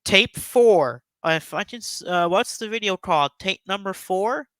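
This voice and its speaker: A man's voice: high voice, animated, moderate pace, a bit bright, clear, resonant tone, dry recording. A man hosting a casual, enthusiastic countdown commentary, speaking in an emphatic, effusive and rambling way. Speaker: YouTube host giving a top ten countdown.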